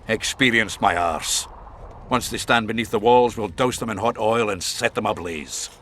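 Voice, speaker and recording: Man playing a boisterous, bright-voiced dwarf warrior. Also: fairly low pitch, moderate pace, slight background noise, lightly acted. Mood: sardonic, boastful. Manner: blunt, crude, barking orders. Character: gruff foul-mouthed male dwarf warrior commander, boisterous and blunt. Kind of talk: fantasy video game acting.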